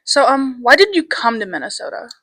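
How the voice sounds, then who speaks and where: A teenage girl working as a student reporter, asking brisk, cheerful interview questions. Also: sits high, lively intonation, pushed and bright, dry recording, clean studio sound. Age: teens.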